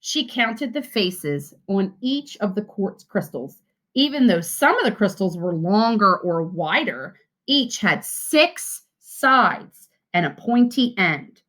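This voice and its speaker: Lively, unhurried woman reading a storybook. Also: mid-range voice. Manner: deliberate, animated delivery for young children. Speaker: read-aloud reader. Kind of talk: expressive children's storybook narration.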